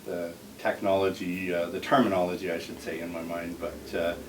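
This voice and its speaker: Very deep-voiced man, distant and hissy. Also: level tone, normal speed. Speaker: audio dealer giving a product tour. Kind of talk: informal technical explanation. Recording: distant mic with clear hiss.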